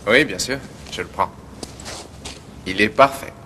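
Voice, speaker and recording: A male actor playing a quiet, polite, reserved tenant in French-dubbed thriller film dialogue, speaking softly and tersely. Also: lowish voice, a little slow, a little hiss or hum.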